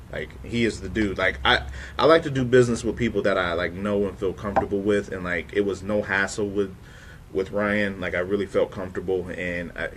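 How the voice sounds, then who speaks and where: A man's voice: low voice, a bit bright, slight background noise. A man in his thirties hosting a casual interview, chatting in an informal, conversational way. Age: 30s. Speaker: show host.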